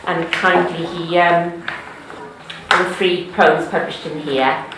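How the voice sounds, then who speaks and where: A woman's voice: lowish voice, slow pace. Cardiff woman reading poetry live, chatty asides, echoey room, bit of hiss behind. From Wales. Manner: lively, chatty asides between poems. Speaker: poetry reader.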